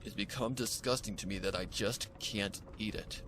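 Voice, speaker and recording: A man voicing a starving, haunted young pegasus stallion in a soft, weak, halting voice for a story reading. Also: fairly low pitch, background noise, natural voice. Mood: weak, craving. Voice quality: soft, weak, near-whispery.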